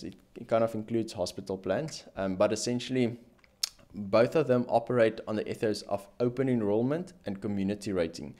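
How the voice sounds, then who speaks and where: Young south african guy, financial planner, low-ish voice, walking you through stuff step by step on a studio mic. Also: a little mellow. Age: young adult. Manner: methodical, explains with hand gestures. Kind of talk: explanatory finance commentary. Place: small dim studio room with lamp. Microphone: boom-arm studio mic in frame.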